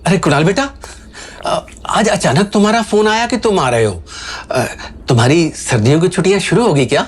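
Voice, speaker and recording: A man's voice: high voice, lively intonation, brisk, husky. A man playing a worried, placating middle-aged father in an audio drama, hesitant and pleading. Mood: nervous, conciliatory. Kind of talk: Hindi audio drama acting.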